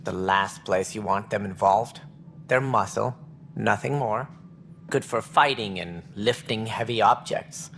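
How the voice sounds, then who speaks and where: An actor playing a stern, proud alien administrator in a video game, speaking in formal, measured, clipped phrases. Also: male voice, fairly low pitch, a bit bright, slight background noise. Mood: contemptuous. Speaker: alien colony director.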